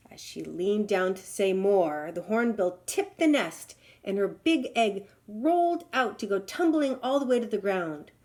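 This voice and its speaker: A woman's voice: medium pitch, expressive, a little slow, few pauses, a little mellow. A woman storyteller reading a children's tale aloud in a dramatic, emphatic way.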